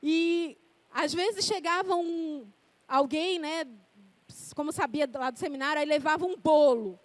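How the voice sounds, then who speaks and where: A woman's voice: high-pitched, a little slow, no room sound. A woman in her forties preaching a sermon, reading scripture and then telling personal stories in a conversational way. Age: 40s.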